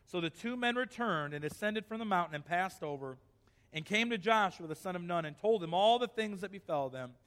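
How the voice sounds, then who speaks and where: A man's voice: sits high, lively intonation, a little fast, a bit bright, big-room sound. A male pastor preaching a sermon in a church, reading scripture aloud and then pressing his point with rising emphasis.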